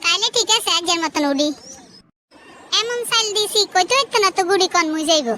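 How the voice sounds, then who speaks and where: Squeaky, meddling cartoon sister-in-law. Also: female voice, expressive, fairly quick, background noise. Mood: sly. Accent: Noakhali Bangladeshi. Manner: gossipy, goading. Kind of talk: comedy cartoon dialogue.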